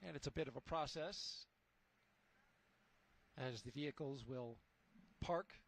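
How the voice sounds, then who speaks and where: A man giving live launch commentary in a relaxed, upbeat, conversational way. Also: medium pitch, measured pace.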